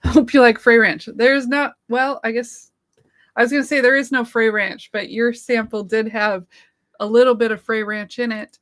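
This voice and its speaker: A woman's voice: on the high side, little pitch movement, moderate pace, a bit bright. A woman co-hosting a casual livestream, chatty and giggly, thanking viewers as she goes, her voice starting to give out. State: losing her voice. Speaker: livestream co-host.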